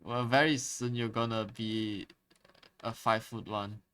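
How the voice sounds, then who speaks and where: A man's voice: lowish voice, little pitch movement, measured pace, bright, forceful tone, clear, resonant tone. A young man with a Malaysian English accent, a native Chinese speaker, chatting casually and rambling on stream with lots of fillers and restarts. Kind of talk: casual chat while drawing on stream.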